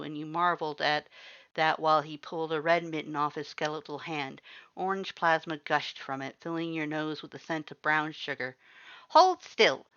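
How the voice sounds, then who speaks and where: A woman narrating a second-person fanfiction story in a steady, even storytelling voice. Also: low voice, clear, resonant tone, no echo, highs cut off. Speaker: fanfiction reader.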